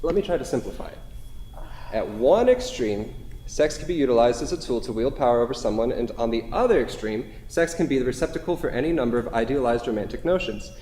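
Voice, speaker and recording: An actor playing an earnest, long-winded, pedantic intellectual lover, hedging in academic phrases in a staged play reading. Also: male voice, mid-range voice, lively intonation, quick pace, dark tone, lightly acted. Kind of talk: staged play reading before a live audience. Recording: echoey hall, noisy, distant reverb.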